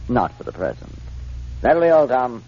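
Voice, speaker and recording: An actor playing a suave, calmly menacing criminal mastermind in a 1940s radio drama, courteous, deliberate and smug. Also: male voice, medium pitch. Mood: suave menace. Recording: muffled, noisy 1940s radio recording.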